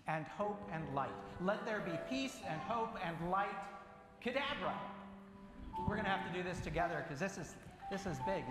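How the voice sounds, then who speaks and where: A high-pitched man plays the joking showman for children, with theatrical, halting magic patter. His voice rings through an echoey hall, with music behind it. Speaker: church event leader doing a magic trick. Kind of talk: playful live magic-show patter to children. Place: large indoor church hall with an audience.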